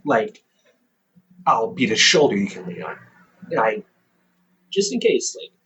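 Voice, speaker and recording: A young man playing a caring, gently stubborn older brother around twenty in an intimate audio roleplay, teasing softly with hesitant pauses. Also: on the low side, poor audio. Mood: gentle, stubborn. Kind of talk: intimate audio roleplay, one-sided conversation.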